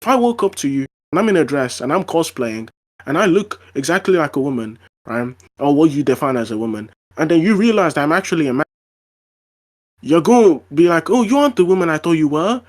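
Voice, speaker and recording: A man's voice: fairly high pitch, expressive, a little fast. A man in a casual online debate, arguing his point with frequent fillers and restarts. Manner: argumentative, lots of fillers and restarts.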